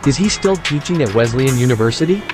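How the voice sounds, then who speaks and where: A man's voice: mid-range voice, animated, quick pace, over music, natural voice. A man voicing a curious, polite young university student in an audio novel. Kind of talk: scripted audio novel dialogue.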